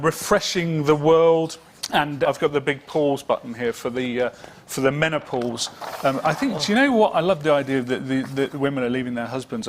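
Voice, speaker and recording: A man giving a casual recap of his sketches on a live show, halting, with many ums and restarts. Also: fairly high pitch. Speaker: guest sketch artist.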